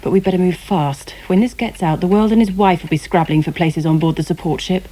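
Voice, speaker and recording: An actress playing a brisk, quick-witted journalist and teasing wife, wry and persistent, in a radio drama. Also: female voice, lowish voice, mellow, close to an everyday voice, low-quality recording.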